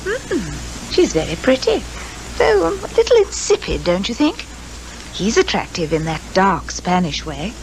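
Scatty chatty british secretary, old radio drama, voice all up and down, faint hiss. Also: female voice, mid-range voice, wildly swooping pitch, measured pace, a little mellow. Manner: talkative, fluttery, opinionated. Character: chatty, scatty female secretary, eager to please. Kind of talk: 1950s radio drama acting. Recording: noisy 1950s radio recording.

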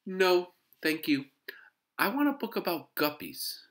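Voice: medium pitch, very animated, a little slow, a bit bright, voice pushed up. An adult reader voicing a young schoolboy, eager and earnest, in a children's storybook.

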